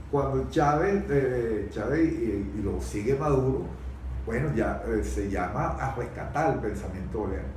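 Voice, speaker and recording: A man's voice: medium pitch, darkish tone. Venezuelan guy talking politics, keeps stumbling and repeating words, super echoey and kinda far from the mic. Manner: halting, often repeating words and searching for phrases. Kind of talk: unscripted political discussion. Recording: very echoey room, distant mic, faint noise.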